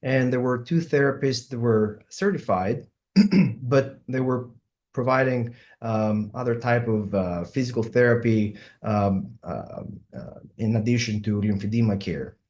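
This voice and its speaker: A middle-aged man from Greece with a Greek accent, a surgeon giving an online symposium talk and often pausing with ums and uhs. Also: mid-range voice, a little mellow. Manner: hesitant, many ums and uhs. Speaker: plastic surgeon presenting.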